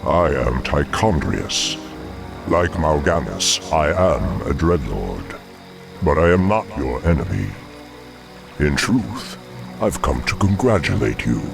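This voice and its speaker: Very deep, gravelly scheming demon lord, wide-swinging, smug, game cutscene with score behind. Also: male voice, sing-song, full character voice. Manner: slow, condescending, gravelly. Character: menacing male demon dreadlord, scheming and smug. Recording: game cutscene over orchestral music.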